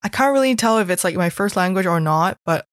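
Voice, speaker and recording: A woman's voice: fairly low pitch, expressive, fairly quick, no echo, studio quality. A young woman from Hong Kong, chatting casually about her school days and peppering her talk with "like". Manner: chatty storytelling, heavy use of "like". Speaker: guest recalling school experiences. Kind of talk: casual chat.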